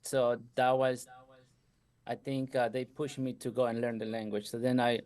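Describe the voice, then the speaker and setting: A middle-aged man from Ecuador whose speech carries a light Ecuadorian Spanish accent. He tells his story in a loose, conversational way and often drops in "you know" and short laughs. His voice sits at an ordinary middle pitch. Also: flat delivery, normal speed, darkish tone. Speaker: guest entrepreneur. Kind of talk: casual interview storytelling.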